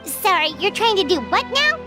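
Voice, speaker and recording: A woman voicing a tiny, excitable, cheeky fairy companion in a video game, squeaky and childlike. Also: normal speed, over music. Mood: incredulous teasing. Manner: exclamatory, slangy, sassy.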